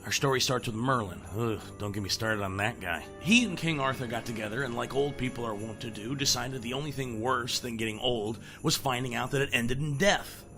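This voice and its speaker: A man's voice: medium pitch, a little fast, hardly any pauses, bright, forceful tone, soft background music, lightly acted. A man voicing a boastful, sarcastic bird who narrates a comic audio drama in a flippant, theatrical way. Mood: cocky, theatrical.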